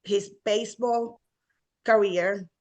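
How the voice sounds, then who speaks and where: A woman's voice: mid-range voice, fairly even intonation, takes it slowly, a little mellow. A woman from Puerto Rico with a Puerto Rican accent, interviewing guests with long, effusive questions.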